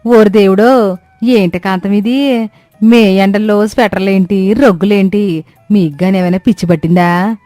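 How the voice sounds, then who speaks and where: A woman voicing an astonished, nosy village neighbour in a cartoon story, with drawn-out exclamations and questions. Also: mid-range voice, steady tone, dark tone, no room sound, faint music behind, close to an everyday voice. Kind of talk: Telugu comic cartoon story acting.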